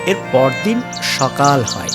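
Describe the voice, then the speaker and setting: A narrator reading a scripted children's cartoon story over loud music. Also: mid-range voice, big pitch swings, takes it slowly.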